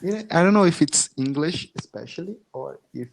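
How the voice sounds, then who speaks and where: A man in his twenties who is learning English, speaking slowly on a video call with frequent restarts and small laughs. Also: a little high, animated, vocal fry, slight background noise, poor audio. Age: 20s. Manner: slow and halting, restarts often, laughs between phrases. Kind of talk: casual video-call chat. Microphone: video call headset or laptop mic.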